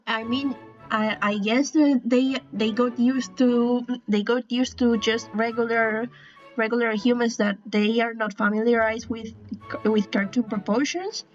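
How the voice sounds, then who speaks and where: A woman with a Spanish accent, talking through a drawing tutorial in a slightly high voice. She speaks hesitantly, restarting and apologizing as she goes. The home recording is dull and lo-fi, with faint music playing underneath. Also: fairly even intonation, unhurried. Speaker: artist explaining a drawing style.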